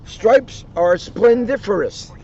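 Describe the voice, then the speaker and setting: A man's voice: sits high, lively intonation, slow pace, a little mellow, pitched above the performer's own voice, heavily acted. A man voicing a cheerful, boastful, bouncy tiger from a storybook in an exuberant, chummy way. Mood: gleeful. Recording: noisy muffled outdoor recording.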